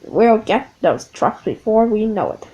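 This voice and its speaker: A woman voicing a practical, watchful male engine driver with a brisk, warning manner. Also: mid-range voice, expressive, mellow, slight background noise, lightly acted, low-quality recording.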